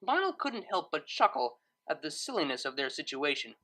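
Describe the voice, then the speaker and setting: A woman reading a story aloud as narrator, in expressive scripted narration. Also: sits low, normal speed.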